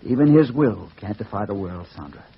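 An actor playing a defiant, earnest hero in old radio drama, speaking emphatically. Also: male voice, fairly low pitch, expressive, brisk, vocal fry, slightly rough, exaggerated voice. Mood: grave, resolute. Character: defiant, earnest male hero confronting evil. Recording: muffled old radio recording, hiss.

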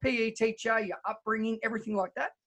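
A man's voice: sits high, fairly even intonation, fast, fairly bright tone. A man hosting a podcast, speaking in an enthusiastic, effusive and conversational way. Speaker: podcast host. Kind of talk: upbeat conversational podcast interview.

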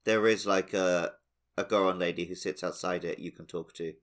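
Low-voiced man in casual podcast chat. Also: fairly even intonation, moderate pace, fairly bright tone. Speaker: podcast co-host. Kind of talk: casual chat, video game playthrough commentary.

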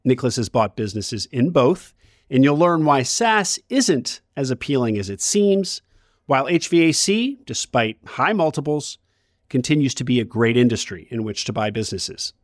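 Lively podcast host, dry close sound. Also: male voice, mid-range voice, normal speed, dry recording. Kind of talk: scripted podcast intro and interview.

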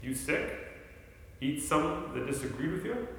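An actor playing a skeptical, wry researcher in a 1940s radio play, with a theatrical, questioning delivery. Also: male voice, on the high side, animated, moderate pace, creaky, a bit bright. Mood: wry, teasing. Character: Paul, skeptical wry male researcher, teasing friend. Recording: very echoey hall, background noise.

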